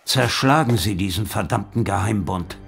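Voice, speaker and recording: A man's voice: fairly low pitch, moderate pace, creaky, music in the background. A man acting a stern, irascible police superintendent, pompous and clipped, in a German radio drama. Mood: gruff, grudging.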